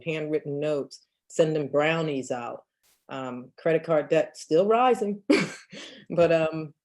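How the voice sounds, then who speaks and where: A woman's voice: low-pitched, darkish tone. A woman in her fifties, a real estate agent answering questions in an emphatic storytelling way with frequent laughs. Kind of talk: casual storytelling Q&A.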